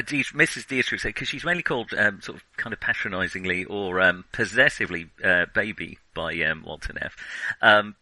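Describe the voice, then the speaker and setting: Bright, dry-voiced man musing on film. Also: medium pitch, no echo, low-quality recording. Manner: hesitant, many ums and restarts. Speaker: podcast co-host. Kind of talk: casual film discussion.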